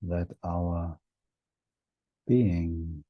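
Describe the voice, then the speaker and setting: A man leading a guided meditation in a gentle, hushed manner, leaving long pauses between short phrases. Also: low voice, fairly even intonation, no echo, highs cut off.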